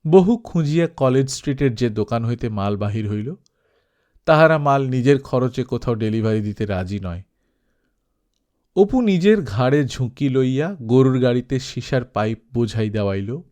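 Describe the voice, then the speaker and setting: A man's voice: mid-range voice, darkish tone. A man reading a literary story aloud as a scripted audiobook narration.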